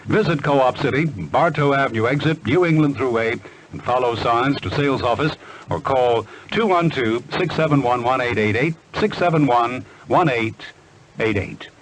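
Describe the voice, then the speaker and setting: American radio announcer on a noisy aircheck. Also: male voice, medium pitch, expressive, normal speed. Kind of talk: scripted ad and weather reading.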